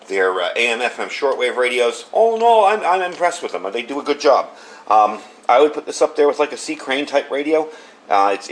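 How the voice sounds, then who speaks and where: A man giving a casual product review in a conversational, unscripted, matter-of-fact way. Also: mid-range voice.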